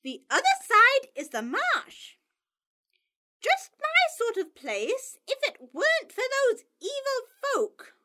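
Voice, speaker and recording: A woman voicing a sharp, scolding female marsh harrier bird in a storybook reading. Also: very high voice, wildly swooping pitch, takes it slowly, pitched above the performer's own voice, full character voice. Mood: bossy.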